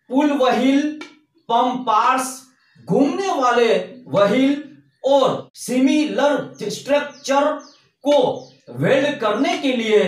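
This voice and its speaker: A middle-aged man teaching welding at a whiteboard, explaining slowly and methodically with lots of repetition, on a lapel mic indoors. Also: very high voice. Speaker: welding instructor. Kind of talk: whiteboard lesson. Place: indoor room in front of a whiteboard. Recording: very echoey room, distant mic.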